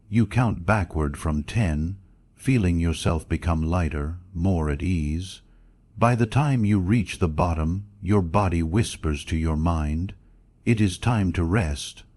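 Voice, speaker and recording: A man narrating a scripted guided sleep meditation in a measured, soothing, instructional way. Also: sits low, darkish tone, no echo.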